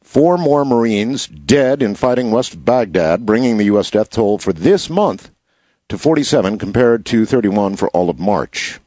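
A slightly hoarse man hosting a show, reading news headlines in a casual style and adding wry asides. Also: lowish voice, animated, normal speed, warm tone, dry recording. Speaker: show host.

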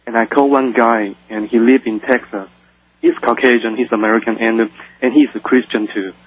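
Husky caller from Taiwan on the phone. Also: male voice, medium pitch, steady tone, dry recording. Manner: halting, searching for words. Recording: muffled dry phone line.

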